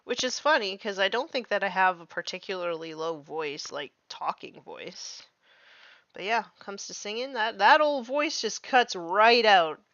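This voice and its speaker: A woman's voice: mid-range voice, expressive, unhurried, a bit bright, no echo. Chatty young woman streamer, dry muffled sound. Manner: chatty, lots of "like", self-deprecating. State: recovering from lost voice. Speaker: streamer painting miniatures. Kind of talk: casual chat while painting.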